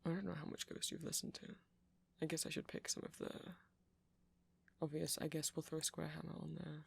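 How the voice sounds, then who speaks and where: A nerdy, flustered young vampire, played by a man, talks in a soft ASMR roleplay. The voice is full of fry and breath, often close to a whisper. He rambles sweetly and hesitantly, putting himself down as he goes. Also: fairly high pitch, exaggerated voice. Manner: hesitant, rambling, self-deprecating, breaks into soft laughs.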